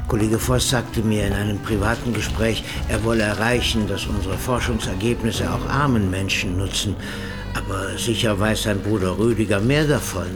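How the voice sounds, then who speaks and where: An actor playing a formal medical professor in a crime radio drama. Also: male voice, fairly low pitch, close to an everyday voice.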